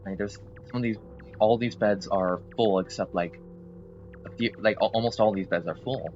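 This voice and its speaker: Low-voiced man rambling over gameplay. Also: fairly even intonation, normal speed, darkish tone, lo-fi sound, faint music behind. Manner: rambling, apologetic, hesitant with many fillers. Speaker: gameplay video host.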